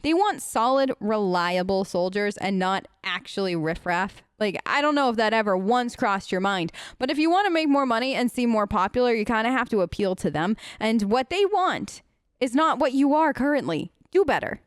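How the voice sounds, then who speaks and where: A woman's voice: a little high, animated, moderate pace, clear, resonant tone, dry recording, studio quality. A chatty woman doing role-play let's play commentary, answering game characters as if she were one of them. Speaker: gaming host, player.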